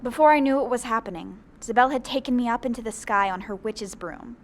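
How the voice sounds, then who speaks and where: A woman's voice: fairly high pitch, a little fast, lightly acted. A woman playing a bewildered teenage zombie girl who dramatically narrates her own story in an audio drama. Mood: wry storytelling. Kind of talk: scripted first-person audio drama narration.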